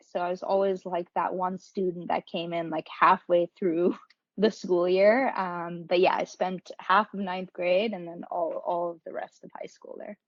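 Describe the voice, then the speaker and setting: Lower-voiced woman, dry slightly dull recording. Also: dry recording. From born in Pakistan, grew up in several countries. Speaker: podcast guest, marketing professional. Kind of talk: conversational interview answers.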